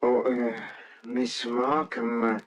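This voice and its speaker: Man acting a slow, hesitant, bashful old professor. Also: mid-range voice, flat delivery, slight background noise, close to an everyday voice. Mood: flustered. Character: timid, bashful, kindly middle-aged-to-elderly botany professor. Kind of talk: old-time radio drama acting.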